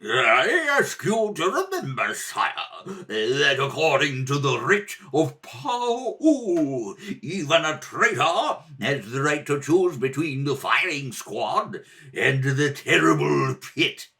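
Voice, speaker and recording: A man playing a sly, fawning high priest in a radio serial, oily and ceremonious. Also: very animated, takes it slowly, bright, forceful tone, exaggerated voice.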